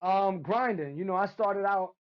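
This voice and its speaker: A man's voice: sits high, normal speed, highs cut off. A man, telling stories in street slang as a podcast guest. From Brooklyn, New York. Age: 50s. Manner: animated storyteller, street slang. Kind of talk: casual podcast chat.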